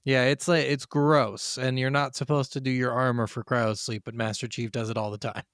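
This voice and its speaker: A man co-hosting a podcast, chatting casually about video games in an animated, sweary, slangy way. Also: medium pitch, normal speed, clear, resonant tone, no echo, studio quality. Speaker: podcast co-host.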